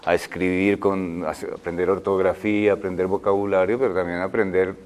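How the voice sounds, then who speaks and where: Low-voiced older man, halting. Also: little pitch movement, a little mellow. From Colombia. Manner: thoughtful, halting, many fillers and restarts. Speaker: guest, film director. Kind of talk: interview answers.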